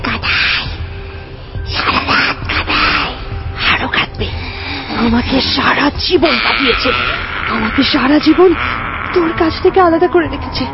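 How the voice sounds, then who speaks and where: A vengeful sorceress mother in an audio horror drama. Her high, gravelly voice carries dark incantations and bitter spite. The sound is dull and lo-fi, with clear music behind her. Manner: drawn-out incantations and bitter, obsessive muttering.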